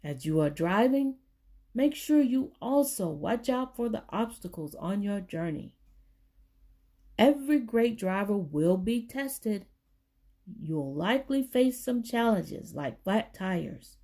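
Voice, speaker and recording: A woman reading a children's book aloud, with deliberate, emphatic phrasing for young listeners. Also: mid-range voice, big pitch swings, dark tone. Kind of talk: storybook read-aloud for children.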